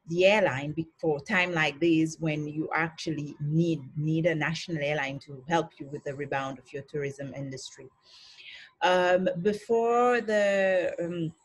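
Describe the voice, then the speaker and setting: A middle-aged woman from the Seychelles with a husky voice, speaking in a hesitant, measured way as a panellist on a video call. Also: sits low. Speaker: tourism board chief executive, panellist. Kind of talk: online panel discussion.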